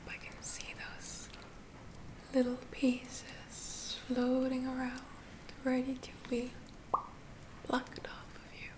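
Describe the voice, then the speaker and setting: A woman doing a whispered ASMR roleplay as a gentle witch healer, soothing and reassuring in an unhurried manner. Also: fairly high pitch. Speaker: ASMR performer.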